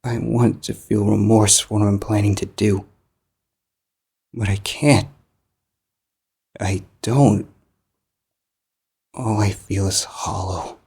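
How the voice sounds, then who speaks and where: A man narrating fan fiction in the first person as an anguished, guilt-ridden living book, measured, halting and melodramatic. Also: lowish voice, steady tone, creaky, darkish tone, breathy edge, exaggerated voice. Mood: anguished, hollow.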